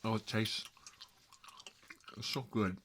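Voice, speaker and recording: A man's voice: lowish voice, fairly even intonation, slow, background noise. A man playing a put-upon, self-deprecating middle-aged uncle in a rambling, folksy ASMR comedy role-play. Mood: chatty, rambling.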